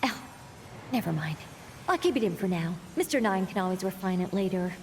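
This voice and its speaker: A woman's voice: mid-range voice, expressive, fairly quick, slight background noise, natural voice. An actress playing a theatrical, earnest young woman storyteller in a video game, formal in diction and fussy about her tale. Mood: musing.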